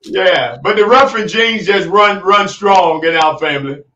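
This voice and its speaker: Man in his 40s, high bright voice, slow stammering speech, roomy room, off-mic. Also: lively intonation, recorded from a distance, highs cut off. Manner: stammering, laughs often. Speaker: guest's older brother. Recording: roomy sound, off-mic, faint noise.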